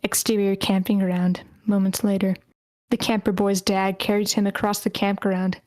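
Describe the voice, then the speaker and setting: A woman's voice: medium pitch, flat delivery, normal speed, a little mellow, dry recording. Woman reading screenplay stage directions, dry sound. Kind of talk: scripted narration at a screenplay table read.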